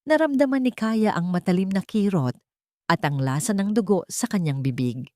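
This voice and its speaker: A woman's voice: medium pitch, lively intonation, moderate pace, a little mellow, dry recording, clean studio sound. A woman narrating a dramatic romance story in an emotive storytelling manner.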